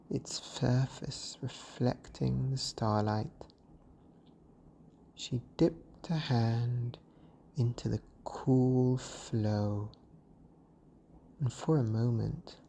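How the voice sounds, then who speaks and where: A storyteller giving a slow, soothing bedtime narration in a gentle, hushed and breathy delivery. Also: mid-range voice, a little hiss or hum.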